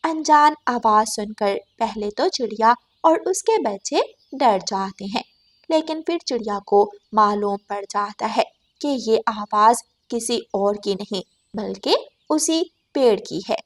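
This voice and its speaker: A woman's voice: a little high, lively intonation, measured pace, dry recording. A woman reading scripted narration for a children's cartoon story.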